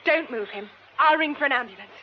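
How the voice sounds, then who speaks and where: A woman playing a caring young actress in a radio drama, practical, polite and steady under shock. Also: high voice, fast. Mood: concerned. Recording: dull radio recording, faint noise.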